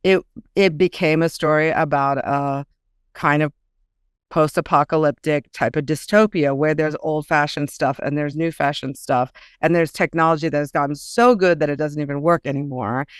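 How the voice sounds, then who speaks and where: A woman speaking casually as an author being interviewed, telling things in an animated storyteller's way. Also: low-pitched, smooth, dry recording, clean studio sound. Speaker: author guest.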